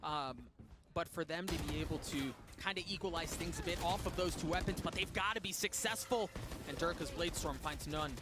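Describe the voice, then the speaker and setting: A man giving color commentary on an esports match, explaining tactics and building excitement, recorded over broadcast music. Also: fairly high pitch, fairly quick, very noisy.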